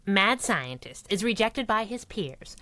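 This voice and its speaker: A bright, sharp, animated voice. It is a sardonic, streetwise masked heroine in a thirties-style radio adventure, tossing off brash slang. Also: female voice, medium pitch, measured pace. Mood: sardonic, skeptical. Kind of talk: 1930s-set radio drama acting.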